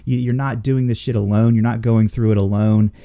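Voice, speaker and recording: A man's voice: on the low side, steady tone, a little fast, warm tone. A man hosting a casual chat show, talking in a blunt, rambling way with plenty of swearing. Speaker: podcast host. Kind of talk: casual chat, profanity-laden.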